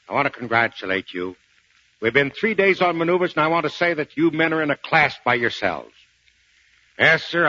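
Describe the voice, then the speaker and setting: An actor playing a tough, gruff old Marine sergeant major with folksy bluster in a 1940s radio play. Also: male voice, on the high side, sharp tone, full character voice. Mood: stern, mocking. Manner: barking orders, folksy slangy bluster. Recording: dull, lo-fi 1940s radio recording.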